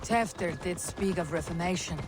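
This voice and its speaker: An actress playing an honorable, resolute Viking warrior woman in a video game, measured, formal and earnest in manner. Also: on the low side, background noise, lightly acted. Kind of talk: video game drama acting. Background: horse hooves and outdoor ambience.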